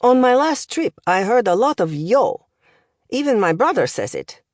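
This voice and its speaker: Woman putting on a lively older professor. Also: a little high, moderate pace, no room sound, clean studio sound, pitched above the performer's own voice. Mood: chatty, proud. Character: older male Romanian language professor, opinionated, smoking, encouraging.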